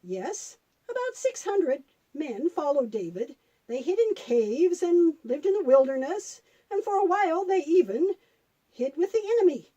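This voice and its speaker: A patient granny puppet, played by a woman, tells a children's Bible story in a gently instructive way. The voice sits high, with lively melody and a soft, mellow tone. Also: unhurried, highs cut off. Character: patient grandmother puppet telling Bible stories.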